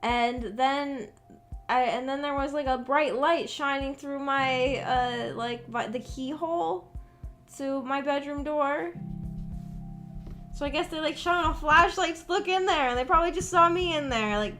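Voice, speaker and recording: Casting: female livestreamer, high voice, casual rambling chat with a self-conscious edge, music playing behind. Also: a little slow, fairly bright tone. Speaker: livestream host. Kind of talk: casual chat with stream viewers. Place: at home in her room. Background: background music.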